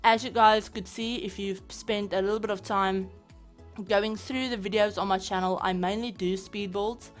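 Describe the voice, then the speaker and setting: A woman's voice: medium pitch, steady tone, moderate pace, soft background music. A woman with a South African accent, chatting casually over her gameplay as a channel host, rambling with lots of fillers. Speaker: game building channel host.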